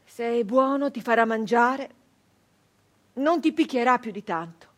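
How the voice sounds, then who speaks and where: High-voiced, embittered brigand woman played by an actress. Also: close to an everyday voice. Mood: resigned, bitter. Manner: insistent repetition, weighty pauses, swings from hushed to forceful. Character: embittered, defiant southern Italian brigand woman of the 1800s. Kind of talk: theatrical dramatic monologue.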